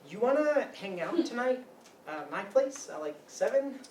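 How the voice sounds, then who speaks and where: An earnest, lovestruck young guy played by an actor in a play reading, awkward and hesitant. The pitch moves around a lot, and the voice is picked up from a distance in an echoey room. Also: sing-song, normal speed, a little mellow, lightly acted. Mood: nervous, hopeful. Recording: roomy, distant mic, faint noise.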